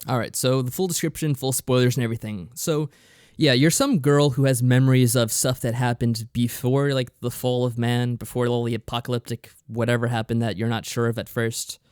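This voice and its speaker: A man talks through a review in a casual, explanatory way, as a podcast co-host would. His voice is on the lower side for a man, and the recording is dry and close. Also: fairly even intonation, fairly quick, darkish tone, smooth, dry recording.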